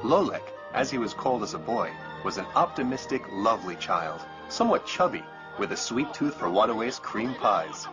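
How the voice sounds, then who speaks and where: A man's voice: on the low side, big pitch swings, moderate pace. A man playing an earnest, reverent storyteller, giving scripted narration in a dubbed cartoon. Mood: fond. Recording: muffled lo-fi audio with loud music.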